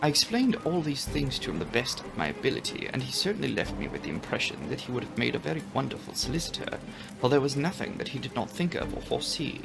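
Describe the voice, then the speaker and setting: A man reads a classic novel aloud as a dramatic audiobook narrator. His delivery is measured but very varied in intonation, with some vocal fry, over background music. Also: mid-range voice, big pitch swings.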